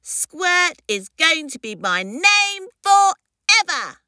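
A woman's voice: extremely high pitch, big pitch swings, slow, sharp tone, no echo, studio quality, voice pushed up, full character voice. A woman voicing a bold, stubborn baby boy dinosaur who exclaims and insists in a children's storybook. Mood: excited, insistent.

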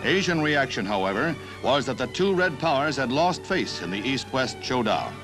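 A man's voice: medium pitch, very animated, moderate pace. A man with an American accent reading a formal, scripted 1960s newsreel narration in a declarative announcer style. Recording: 1960s newsreel soundtrack with music. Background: newsreel music.

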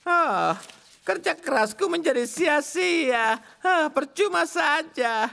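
A woman's voice: high voice, expressive, slow pace, vocal fry, a bit bright, heavily acted. A woman voicing a devoted, fussy old male sheep butler in a cartoon, formal and eager to please, with dramatic exclamations. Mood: glum. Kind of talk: cartoon dub acting.

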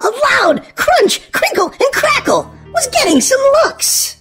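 Very high, animated children's storybook reader, woman. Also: slow pace, faint music behind. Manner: exaggerated, sing-song, expressive delivery for small children. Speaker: host and reader of a children's read-aloud show.

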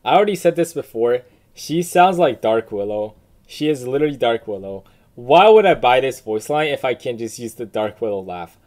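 A man's voice: medium pitch, animated, moderate pace, darkish tone. A man giving blunt, informal reaction commentary as a gaming video creator, swearing casually. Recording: faint background noise, keyboard typing. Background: computer keyboard typing and clicks.

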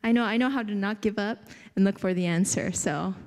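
A woman's voice: medium pitch, brisk, darkish tone. A young woman from Hawaii, a guest speaker, talks casually and with a friendly, giggly manner while seated on a theater stage with a handheld mic. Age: young adult. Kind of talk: casual talk to an audience. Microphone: handheld microphone. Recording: long hall reverb from a theater.

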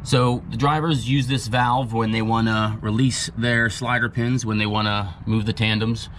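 Man, lowish voice, plain-spoken, explanatory, fillers, outdoors, body-worn camera, faint background ambience. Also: level tone, normal speed, fairly bright tone, a little hiss or hum. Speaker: truck repair technician. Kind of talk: hands-on technical demonstration. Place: outdoor gravel lot by trailers.

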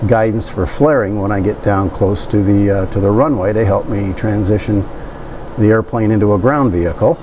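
A man's voice: on the low side, normal speed, noisy background. A man in his fifties talking through a technical demonstration as an instructing pilot, in a conversational way.

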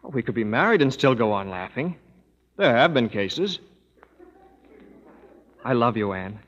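An actor playing an earnest, gently witty young man proposing marriage in a 1930s radio drama. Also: medium pitch, sing-song, a little slow. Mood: tender. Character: earnest young suitor Felix, warm and witty. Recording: muffled old radio broadcast in a hall.

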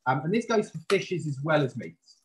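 A man's voice: fairly high pitch, very animated, moderate pace, a little hiss or hum. A man, a chef, explains his cooking informally as he demonstrates it live over a video call from a kitchen. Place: professional kitchen, indoors. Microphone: video call, standing back from camera.

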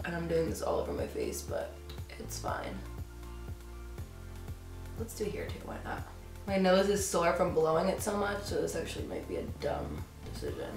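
A young woman beauty vlogger in her twenties chatting casually on camera, stuffy from a cold, and filling her talk with "like". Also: fairly low pitch. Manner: chatty, lots of "like", giggly. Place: bedroom with decorated shelves. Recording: roomy home room with background music.

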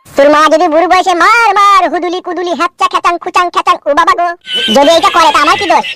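A man's voice: very high voice, very noisy. A man voicing an exasperated, crude husband who scolds in a comedy cartoon. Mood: angry, mocking. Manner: scolding, crude, rapid-fire. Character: foul-mouthed, exasperated husband ranting about his runaway mother-in-law. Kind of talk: amateur comedy cartoon dubbing.

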